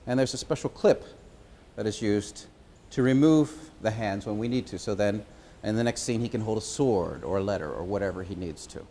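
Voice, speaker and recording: A man giving an informal explanation as a puppeteer presenting a show, pausing and hesitating as he goes. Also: on the low side, dark tone, slight background noise.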